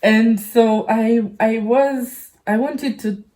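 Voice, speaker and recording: A woman from the Caribbean speaking casually and hesitantly to her channel's audience, halting and rambling as she goes. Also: a little high, fairly even intonation, mellow. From French Caribbean island. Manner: hesitant, halting, rambling with frequent pauses and repeats. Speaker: YouTube channel host. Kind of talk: casual personal vlog talk.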